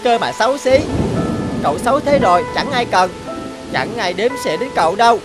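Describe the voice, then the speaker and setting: A woman's voice: mid-range voice, animated, brisk, lightly acted. Woman as a vain, sneering cartoon fruit. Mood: mocking. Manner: boastful, mocking. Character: vain, smug, sneering young smooth-skinned guava. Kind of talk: children's cartoon dubbing. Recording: music behind the voice.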